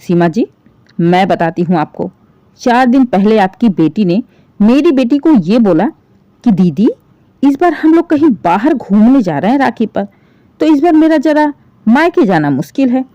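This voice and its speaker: A woman's voice: on the high side, lively intonation, moderate pace, mellow, dry recording, dull audio, close to an everyday voice. A woman storyteller voicing an indignant older mother-in-law, stern and reproachful, in a lecturing manner. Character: indignant, aggrieved older mother-in-law scolding over her daughter.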